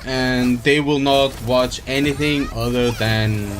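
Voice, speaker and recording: A man's voice: mid-range voice, steady tone, slow. A man streaming a game, chatting casually and rambling as he thinks aloud. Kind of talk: casual live-stream chat while playing. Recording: game music behind the voice.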